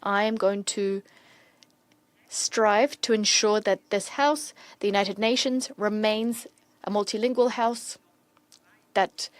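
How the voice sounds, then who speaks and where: A woman interpreter renders formal diplomatic speech in measured, steady bursts. Her mid-pitched voice is close to the mic and completely dry, with no room sound at all. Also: dry recording. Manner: steady, measured, in quick bursts between pauses. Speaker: simultaneous interpreter.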